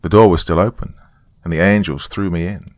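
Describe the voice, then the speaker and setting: Super deep guy telling a dramatic story in first person, folksy and deliberate, dry sound. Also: steady tone, darkish tone, dry recording. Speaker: narrator.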